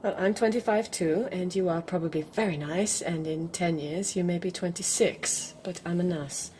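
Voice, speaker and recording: An actress playing a cool, guarded young nurse in a radio drama, dry and direct. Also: female voice, on the low side, normal speed, mellow, close to an everyday voice. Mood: cool, dismissive. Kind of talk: naturalistic radio drama acting. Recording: radio drama with background noise.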